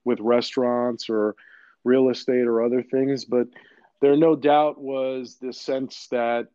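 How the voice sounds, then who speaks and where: A man from Queens, New York, whose first language is Greek, explaining his path to becoming an orthopedic surgeon in a measured way with frequent fillers. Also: medium pitch, mellow, no room sound. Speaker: orthopedic surgeon, podcast guest. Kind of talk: conversational interview answers. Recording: dull, dry, lo-fi sound.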